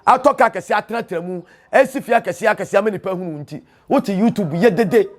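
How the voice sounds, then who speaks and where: High-pitched Ghanaian man, emphatic storyteller. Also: fast. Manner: emphatic, proverb-laden, repeats phrases for emphasis. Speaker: host and traditional storyteller. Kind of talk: impassioned teaching monologue in a storytelling show.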